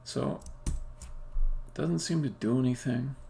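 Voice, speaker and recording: Gravelly-voiced man thinking aloud while coding. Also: mid-range voice, mellow. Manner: halting, muttering to himself. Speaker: game developer live-streaming. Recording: faint background noise. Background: computer keyboard typing and clicking.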